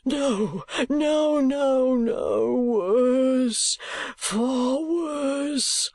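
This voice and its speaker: A shrill, frantic old miser wails and gasps in ruin,. His voice leaps high and cracks into hushed whispers. The sound is close and dry. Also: expressive, a little slow, no room sound. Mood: frantic, wailing. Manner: screeching, whispering in panic.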